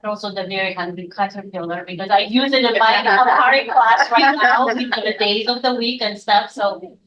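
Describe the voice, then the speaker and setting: This is a woman from Ethiopia introducing herself to a group of educators in a meeting room. She talks in a searching, halting way and often circles back. The mic sits some distance from her, so her voice sounds removed. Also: medium pitch, fairly bright tone, muffled recording. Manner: searching, halting, with many restarts. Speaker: educator at a workshop. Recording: voice picked up from across a room.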